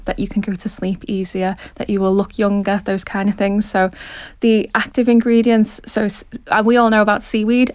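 A woman with a Liverpool accent in her thirties, talking about her business in an eager, chatty way and laughing easily. Her voice sits in a middle pitch and is recorded close and dry, with no room around it. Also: dry recording. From Liverpool, England. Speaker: skincare business founder. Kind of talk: interview answers.

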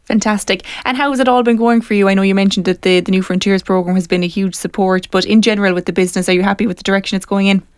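Fast-talking woman interviewer. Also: mid-range voice, fairly even intonation, smooth, dry recording, clean studio sound. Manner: encouraging, rambling questions with fillers. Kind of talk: radio-style interview questions.

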